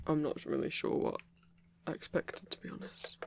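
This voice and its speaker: A man playing a gruff but tender werewolf boyfriend in an intimate ASMR roleplay, rambling in halting, sweary, hushed phrases with a husky, gravelly voice. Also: a little hiss or hum, close to an everyday voice. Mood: earnest, hesitant.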